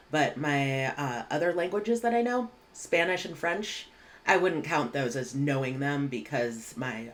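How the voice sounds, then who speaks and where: A woman, teaching English vocabulary in a clear, measured way with casual asides, recorded at home on a webcam. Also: low voice. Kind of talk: vocabulary lesson. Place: home room indoors.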